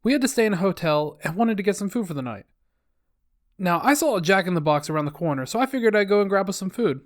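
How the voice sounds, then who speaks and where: Man, high voice, reads online posts aloud, sarcastic asides, dead dry sound. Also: lively intonation, quick pace, dry recording. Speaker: narrator reading online posts. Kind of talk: reading posts aloud with comments.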